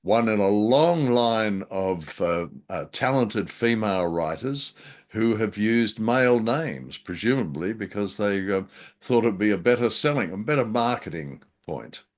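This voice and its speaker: A man's voice: lowish voice, lively intonation, measured pace. A man co-hosting a podcast, chatting casually about an old radio drama, hesitant with many ums and a dry, self-mocking humor. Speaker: podcast co-host.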